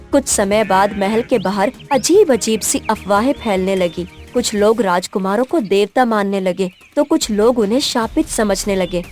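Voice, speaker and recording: A woman's voice: medium pitch, darkish tone. A woman narrator reading a scripted folk tale in storytelling style.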